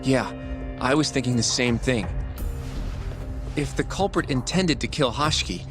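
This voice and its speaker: A man playing a polite, earnest young office junior in a video game drama. He has a medium-pitched voice, with faint music behind. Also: a little slow, natural voice. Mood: earnest, informative. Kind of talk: English dub cutscene acting.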